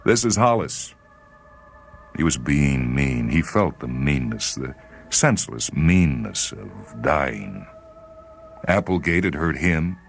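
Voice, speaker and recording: A man reading a literary short story as dramatic scripted narration, with grave, deliberate phrasing. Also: very deep voice, lively intonation, soft background music. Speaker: short story reader on a radio series.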